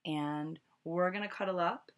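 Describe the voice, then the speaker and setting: A woman's voice: on the low side, unhurried. A middle-aged woman reading a picture book aloud to children at home, recorded on a webcam. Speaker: storyteller. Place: small furnished room at home. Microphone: webcam at arm's length.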